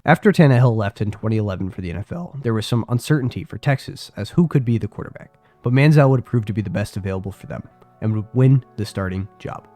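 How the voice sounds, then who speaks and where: A man's voice: fairly low pitch, expressive, fast talker, a little mellow. Congested young man narrating football history. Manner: casual, chatty asides to viewers. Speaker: sports video creator. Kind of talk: scripted sports narration. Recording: faint music behind voice.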